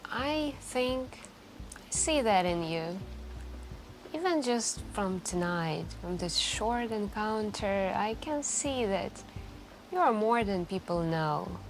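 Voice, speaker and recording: A woman playing a wistful, soft-spoken stranger in a late-night café, confiding hesitantly in an intimate ASMR roleplay. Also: medium pitch, lively intonation. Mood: tender, grateful. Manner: hesitant, musing, confiding, with gentle pauses. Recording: continuous quiet background music. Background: quiet background music.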